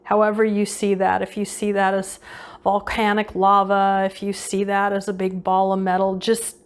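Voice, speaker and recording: A woman's voice: mid-range voice, monotone, moderate pace, clear, resonant tone. Woman casually guiding a meditation. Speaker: meditation guide. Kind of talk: unscripted guided visualization meditation.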